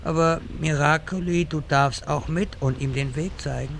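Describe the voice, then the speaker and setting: An actor playing a solemn old male wizard who speaks in a slow, measured, formal way in a comedy audio drama. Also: on the high side, fairly even intonation, vocal fry, slight background noise.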